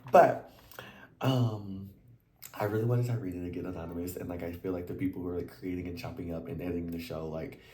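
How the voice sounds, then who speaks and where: Recap host, male, deep voice, a bit worn out, chatty and animated, echoey room. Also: a little fast. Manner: animated and chatty, slangy, leaps into high exclamations. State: voice a little worn. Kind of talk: casual chat to camera.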